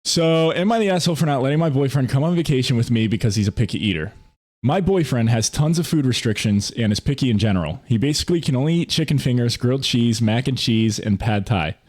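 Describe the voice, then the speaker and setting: A man in his twenties with a mid-pitched voice co-hosts a casual podcast. He talks like he is among friends, loose and slangy, with the odd curse. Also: animated, fast, darkish tone. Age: 20s. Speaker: podcast co-host.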